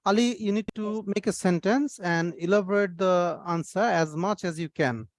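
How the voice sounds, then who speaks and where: A man's voice: high-pitched, normal speed, no echo, clean studio sound. A man teaching an online English class, patiently correcting his students' grammar over a video call.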